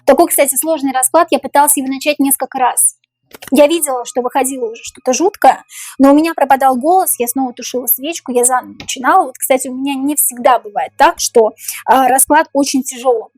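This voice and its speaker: High-voiced woman with a tiring voice. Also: a little mellow, no room sound. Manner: repeats each question slowly, muses over the cards. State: voice tiring, going hoarse. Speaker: tarot reader. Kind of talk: tarot reading to camera, thinking aloud.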